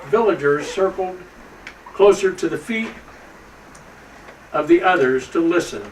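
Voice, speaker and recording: A man playing Santa Claus, a jolly old man reading a storybook to children in a folksy, slightly halting way. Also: fairly high pitch. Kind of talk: storybook read-aloud to children. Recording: roomy, distant microphone with faint background noise.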